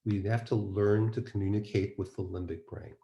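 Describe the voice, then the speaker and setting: A man's voice: lowish voice, fairly even intonation, normal speed, gravelly, mellow, dull audio. A man presenting a webinar in a conversational, explanatory way, posing rhetorical questions as he teaches. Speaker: presenter, mind-body medicine practitioner. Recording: roomy, echoing webinar audio.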